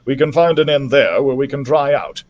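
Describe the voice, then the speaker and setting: A man voicing an earnest, practical doctor in a children's storybook, speaking in a measured, slightly worried way. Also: mid-range voice, animated, a little mellow, dull audio, close to an everyday voice. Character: earnest adult male doctor, worried but practical adventurer.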